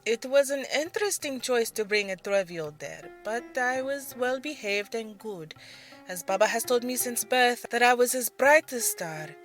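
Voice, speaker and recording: A woman's voice: a little high, lively intonation, normal speed, a bit bright, faint music behind, pitched above the performer's own voice. A woman voicing a protective, serious older sister, a young dancer, in an audio drama story. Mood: reminiscing.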